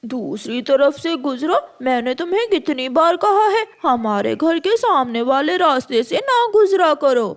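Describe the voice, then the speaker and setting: A woman's voice: high voice, big pitch swings, a little slow, few pauses. A woman voicing a mischievous young boy crow in a children's cartoon, a bossy, demanding, taunting bully. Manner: bratty, demanding.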